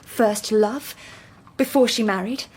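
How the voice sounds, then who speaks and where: An actress playing a determined, devoted young Victorian wife in a period radio drama, with intense, emotional delivery. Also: female voice, on the high side, slow pace, darkish tone, slight background noise. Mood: pained, earnest.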